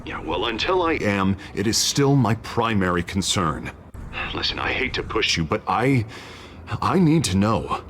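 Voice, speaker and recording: Deep, animated, anxious politician. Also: male voice, measured pace, slight background noise. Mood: pressing. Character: anxious, pleading male district attorney running for mayor. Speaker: politician character. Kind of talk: dramatic video game voice acting.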